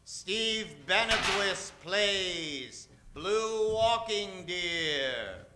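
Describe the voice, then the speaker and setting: A man's voice: sits high, expressive, drawn-out words, noisy background. A man narrating theatrically as the announcer of an old-time radio western, dramatic and emphatic, drawing out names. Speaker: announcer introducing a radio play cast. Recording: music behind the voice. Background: music.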